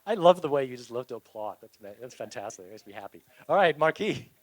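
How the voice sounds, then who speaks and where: A man's voice: medium pitch, big pitch swings, fast, dry recording, soft background music, low-quality recording. A man moderating a live panel discussion at an event, upbeat and loose, joking with the audience between questions.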